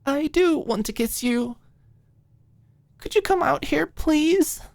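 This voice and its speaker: Audiobook role: earnest young woman, played by a female reader, high-pitched, halting, pleading, flustered, close dry sound. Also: expressive, vocal fry, darkish tone, no room sound, exaggerated voice. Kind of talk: audiobook character acting.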